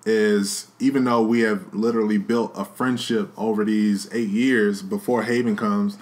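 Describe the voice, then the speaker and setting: A man co-hosting a casual podcast with his wife, talking in a relaxed, slangy, earnest way about becoming a father. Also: lowish voice, moderate pace. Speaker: podcast co-host, expecting father. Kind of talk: casual chat.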